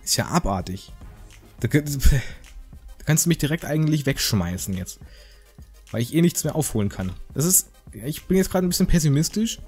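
A man's voice: on the high side, lively intonation, moderate pace, darkish tone, breathy edge, soft background music. A man giving casual, joking game commentary in a rambling, slangy, mock-indignant way. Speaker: gaming video host.

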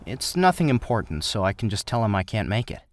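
A man playing a reserved, matter-of-fact high-school boy in a manga dub, with a laconic, understated manner. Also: very animated, fast.

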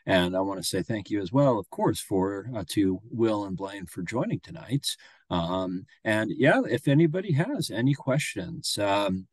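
A man in his thirties hosting an online reading Q and A, talking casually with lots of fillers and pauses, on a video call from a home office. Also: fairly low pitch, expressive, no echo. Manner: casual, filler-heavy, halting. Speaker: host of a reading event. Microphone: video call webcam.

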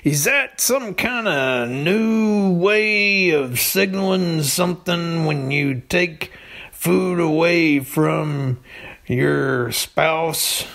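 A man's voice: on the high side, very slow, gravelly, a bit bright. A man chatting casually and comically as a podcast storyteller, laid-back and hesitant. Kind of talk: casual comedic chat.

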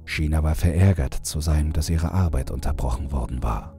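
A man's voice: very deep voice, moderate pace, dark tone. A man reading scripted first-person horror fiction as an audiobook narrator.

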